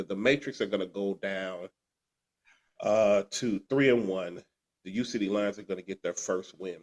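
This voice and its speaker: Sick podcast co-host, congested, lowish voice, rambling recap. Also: male voice. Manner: rambling, restarts and "uh" fillers. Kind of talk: fantasy football recap chat.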